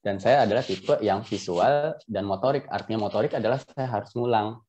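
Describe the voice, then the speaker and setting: A man's voice: lowish voice, fairly quick, darkish tone, slight background noise. A man with an Indonesian accent chatting casually as an interview guest, self-deprecating and given to chuckling, telling personal stories.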